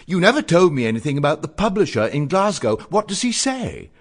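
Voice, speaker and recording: An expressive, dandified young Oscar Wilde. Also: male voice, on the high side. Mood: boyish, eager. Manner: florid, expressive, measured and articulate. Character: Oscar Wilde, witty, dandified, eloquent young Irish letter writer. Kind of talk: theatrical reading of letters.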